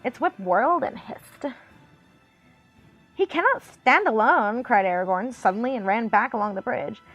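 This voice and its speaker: A woman's voice: fairly high pitch, animated, normal speed, fairly bright tone, muffled recording, faint music behind. A woman voicing a ditzy dumb-blonde girl reading an epic fantasy storybook, every phrase lilting up like a question. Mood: excited, dramatic. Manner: airy, questioning upspeak.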